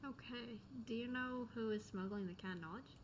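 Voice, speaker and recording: Female streamer, young adult: highish voice, casual slangy reactions, clear noise behind her. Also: moderate pace. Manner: slangy, muttered asides to the game. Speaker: gameplay streamer. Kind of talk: casual gaming reaction commentary. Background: video game audio.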